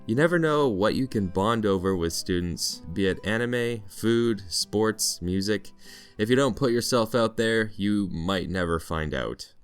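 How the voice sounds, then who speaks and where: A man's voice: fairly low pitch, animated, unhurried, clear, resonant tone, soft background music. A Canadian man narrating a scripted vlog in a playful storytelling way with mock-dramatic flourishes. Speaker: vlog narrator, teacher in Japan.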